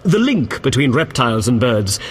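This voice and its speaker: An earnest game knight: slightly husky voice, solemn courtly phrasing with expressive swings, heard in long reverb. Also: male voice, mid-range voice, moderate pace. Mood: courteous, eager. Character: earnest, pious, courteous male knight of an order. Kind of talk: video game character acting.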